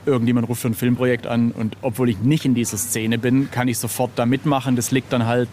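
A man's voice: mid-range voice, little pitch movement, quick pace, a little mellow. A middle-aged man being interviewed as an illustrator, telling anecdotes in a casual, informal and self-deprecating way. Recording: clearly noisy background ambience.

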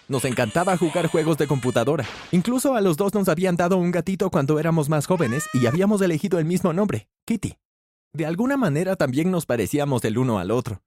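A man narrating a scripted first-person story with animated delivery, shifting his pitch when he voices the characters. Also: slight background noise.

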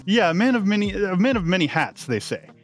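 A man's voice: sits high, big pitch swings, a little fast, soft background music. A man hosting a casual behind-the-scenes podcast chat about his creative work. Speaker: podcast host and audio drama creator.

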